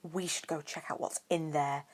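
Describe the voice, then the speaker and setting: A woman's voice: low voice, steady tone, moderate pace. A woman voicing a haughty, nosy schoolboy in a petulant, mocking way for a fantasy story.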